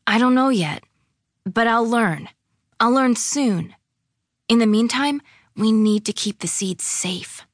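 A woman's voice: a little high, expressive, slow, pauses often, no echo, studio quality, voice pushed up. A woman reading a storybook, voicing a secretive, determined young girl who speaks earnestly and insistently.

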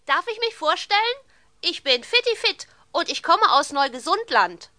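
Bright, lilting, cheerful magical visitor. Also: female voice, extremely high pitch, sing-song, normal speed, no echo, clean studio sound, heavily acted. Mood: cheerful, friendly. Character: cheerful glittering fairy-like visitor from a land of health. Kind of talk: children's audio play acting.

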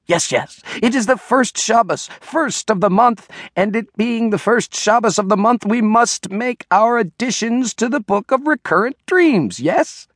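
A man voicing a rambling, absent-minded old village congregation leader in an audiobook, halting and easily sidetracked. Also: high-pitched, animated, a bit bright, dry recording, clean studio sound, voice pushed up, exaggerated voice. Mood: muddled, questioning. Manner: halting, digressive, self-interrupting. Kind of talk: audiobook character voice.

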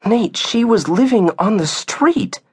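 A man's voice: high-pitched, expressive, slow, husky, dry recording, studio quality, pitched above the performer's own voice, exaggerated voice. A man voicing an exasperated, worried, sceptical mother with an emphatic, protesting manner, as in an audiobook.

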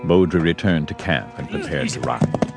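A man's voice: a bass voice, lively intonation, normal speed. A man narrating a Western story as a scripted audio drama. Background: music.

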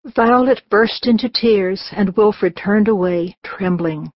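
A woman's voice: mid-range voice, slow, no echo, lo-fi sound. A woman narrating a scripted children's audiobook.

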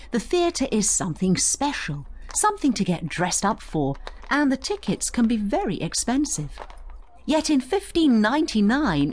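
A woman giving scripted educational narration as a guide, in an instructive, expressive storytelling manner. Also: medium pitch. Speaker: study guide narrator and tour guide.

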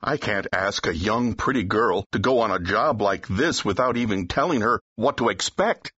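An actor playing a cautious, exasperated talent agent in an audiobook drama, with an uneasy, reasoning manner. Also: male voice, on the low side, lively intonation, normal speed, sharp tone, breathy edge, dry recording, muffled recording, exaggerated voice. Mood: protesting. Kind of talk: full-cast audiobook acting, period dialogue.

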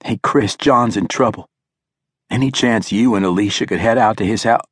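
A man's voice: fairly low pitch, moderate pace, breathy edge, dry recording. A man playing a desperate, protective father in a zombie battle, pleading urgently in everyday words.

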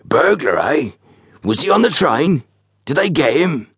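A man's voice: on the high side, sing-song, unhurried, breathy edge, no room sound, pitched above the performer's own voice, exaggerated voice. A man voicing a large, pompous, easily bewildered rustic station master in an audiobook story, with lofty dignity and uneducated grammar. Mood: magnanimous. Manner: lofty, sarcastic dignity with dropped grammar and misplaced aitches.

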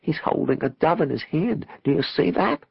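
Audiobook role: blue-rinsed churchy woman, voiced by a man, nosy, insistent, squawky, raised pitch, storybook acting. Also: big pitch swings, normal speed, vocal fry, slightly rough, dry recording, dull audio, full character voice. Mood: thrilled. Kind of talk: audiobook character voice.

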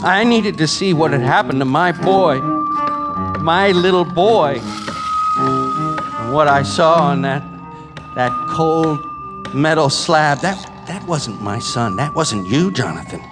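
An actor playing a grief-maddened scientist father in an audio drama, intense and theatrical, with halting repetitions. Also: on the high side, animated. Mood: anguished. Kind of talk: live audio drama acting with monologue narration. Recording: live stage recording with music underneath.

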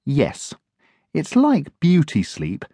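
A brainy, self-satisfied male puppy, voiced by a narrator drawls out his answers slowly and smugly. His pitch sits somewhat above the reader's own voice and swings widely. The reading is close and dry. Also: wildly swooping pitch, warm tone, husky, dry recording, full character voice. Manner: smug, slow and drawling. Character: clever know-it-all male puppy.

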